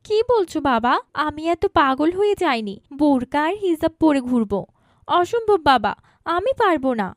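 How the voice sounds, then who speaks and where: A woman plays a defiant modern girl of eighteen in a cartoon story. Her voice is very high and swings widely in pitch as she argues her case insistently. The sound is dry and studio-close. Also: very animated, moderate pace, dry recording. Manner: argumentative, insistent. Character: defiant, headstrong eighteen-year-old modern daughter. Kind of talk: cartoon dialogue acting.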